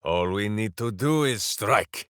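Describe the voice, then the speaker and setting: A hulking, earnest hero who speaks of himself in third person. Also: male voice, on the low side, lively intonation, slow, a bit bright, clear, resonant tone, no room sound, clean studio sound. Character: hulking earnest male ranger warrior with a pet hamster. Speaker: video game character. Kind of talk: game voice lines.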